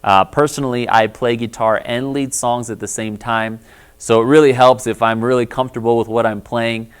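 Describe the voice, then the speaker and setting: Friendly bass-baritone man teaching music. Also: fairly even intonation, normal speed, smooth. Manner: friendly, explanatory, often checks in with "all right?". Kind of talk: instructional video lesson.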